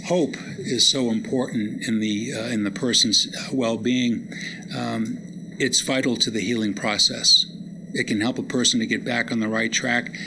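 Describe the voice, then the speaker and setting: Gravelly man lecturing, noisy recording. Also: mid-range voice, steady tone, normal speed. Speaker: lecturer introducing poems and readers. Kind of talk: public lecture with introductions. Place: indoor public lecture event.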